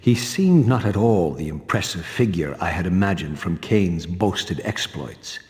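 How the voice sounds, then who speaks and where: An actor playing a vengeful, brooding undead wraith in a video game, murmuring inner thoughts and snapping back defiantly. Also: male voice, deep voice, expressive, measured pace, a little mellow. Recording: long hall reverb, game score behind.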